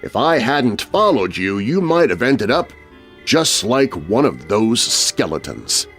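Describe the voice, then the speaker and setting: A man voicing a relieved father who gently scolds his son in a children's story. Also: medium pitch, very animated, takes it slowly, over loud music, pitched below the performer's own voice. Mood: gently scolding. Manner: admonishing, fatherly. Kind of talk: children's spooky storybook narration.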